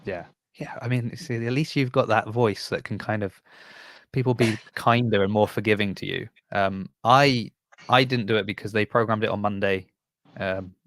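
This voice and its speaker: A man's voice: on the low side, fairly even intonation, moderate pace, a bit bright, no room sound. A man hosting a casual interview podcast, upbeat and playfully teasing his guests. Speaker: podcast host.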